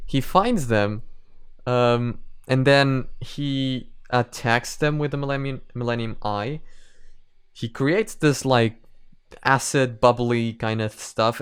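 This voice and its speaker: A man's voice: mid-range voice, clean tone, no echo, studio quality. A man hosting a reaction video, giving casual commentary and thinking aloud in a hesitant, repetitive way.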